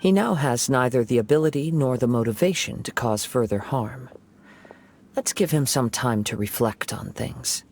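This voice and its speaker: An actor playing a calm, composed young wandering samurai in a video game, speaking gently and reflectively. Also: male voice, medium pitch, moderate pace, vocal fry, a little hiss or hum.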